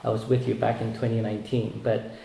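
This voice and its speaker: A man's voice: lowish voice, flat delivery, a little fast, gravelly, warm tone, echoey room, poor audio. A man from Malaysia preaching a sermon, earnest and often repeating words as he builds his points.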